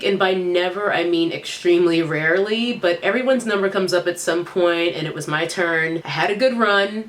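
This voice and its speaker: Congested, nasal young woman vlogger. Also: fairly low pitch. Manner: chatty, upbeat, explanatory. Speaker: beauty vlogger. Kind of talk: casual product review. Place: small room indoors.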